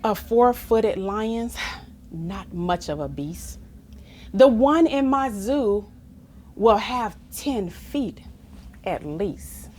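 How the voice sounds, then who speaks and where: A woman reading a children's story aloud in an expressive, playful, theatrical manner, as if to young listeners. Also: mid-range voice, extremely slow, slight background noise. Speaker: story reader.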